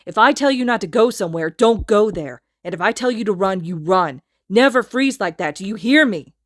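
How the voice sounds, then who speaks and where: A woman's voice: medium pitch, animated, brisk, no room sound, clean studio sound, voice pushed up, close to an everyday voice. A woman reading a story aloud, voicing a furious, foul-mouthed teenage boy hero who roars, snarls and swears.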